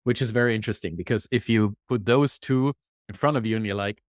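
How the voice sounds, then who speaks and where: A man with a German accent, a podcast guest, explaining his work in a thoughtful, measured way. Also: fairly low pitch, no room sound, clean studio sound. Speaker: guest, executive consultant. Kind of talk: conversational interview.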